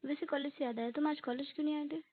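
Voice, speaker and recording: A woman's voice: high voice, steady tone, rapid-fire, natural voice. A young woman playing a defensive college girlfriend in a naturalistic phone-call roleplay, questioning, protesting and explaining herself. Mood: puzzled, teasing. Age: young adult. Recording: tinny, narrow phone-call sound.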